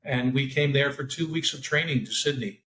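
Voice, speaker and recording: A man's voice: mid-range voice, normal speed, fairly bright tone. A man co-hosting a podcast, chatting casually and rambling with lots of fillers. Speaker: podcast co-host, former profiler. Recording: roomy echo.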